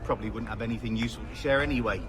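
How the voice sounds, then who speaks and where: A man's voice: medium pitch, very fast, natural voice. A man playing a brusque, dismissive sailor in a video game, speaking curtly and colloquially. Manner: colloquial, curt.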